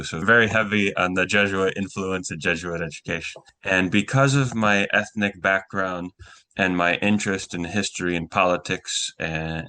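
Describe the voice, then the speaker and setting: Deep, flat-voiced man methodically listing. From United States. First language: Ukrainian. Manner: methodical, lists things item by item. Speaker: guest journalist. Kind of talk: interview answers.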